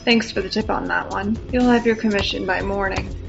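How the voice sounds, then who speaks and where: A woman's voice: medium pitch, fairly even intonation, fairly quick, vocal fry. A woman playing a callous, cocky, ghoulish harvester with a dry, disdainful manner in an audio drama. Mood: breezy, dismissive. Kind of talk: scripted audio drama acting. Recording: slightly dull lo-fi recording. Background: music.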